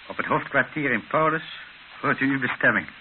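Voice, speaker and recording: A man's voice: medium pitch, fairly quick, lightly acted. Een man die in een hoorspel een hoffelijke, berustende vader van middelbare leeftijd speelt. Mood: tense, grave. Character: resigned, courteous colonial father, Monsieur Moreau. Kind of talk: radio play acting. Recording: muffled, hissy old radio recording.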